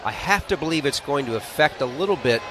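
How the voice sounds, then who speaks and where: A man's voice: medium pitch, expressive, fast talker. An American man giving conversational color analysis during a live baseball broadcast. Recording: clearly noisy live outdoor broadcast.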